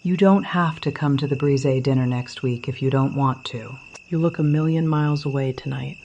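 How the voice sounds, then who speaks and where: A woman playing a gentle, caring wife with dry wit in an audio drama, soft-spoken and affectionate. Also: a bass voice, moderate pace, lo-fi sound, a little hiss or hum. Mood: gently concerned. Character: gentle, wryly humorous wife, caring and observant.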